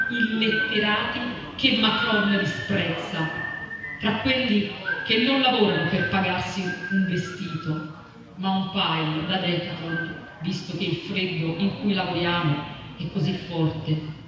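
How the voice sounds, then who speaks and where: Distant literary reader in a reverberant hall. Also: mid-range voice. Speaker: reader at a public literary reading. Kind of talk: expressive reading of literary prose poetry aloud. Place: live reading at a festival venue. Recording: echoey noisy hall, distant mic.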